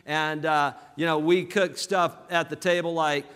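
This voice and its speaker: A man from southern Alabama with a Southern American accent giving an energetic motivational business seminar, calling out to his audience for responses. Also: on the high side, a little slow, clear, resonant tone. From southern Alabama, USA. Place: large hall with live audience. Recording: reverberant hall.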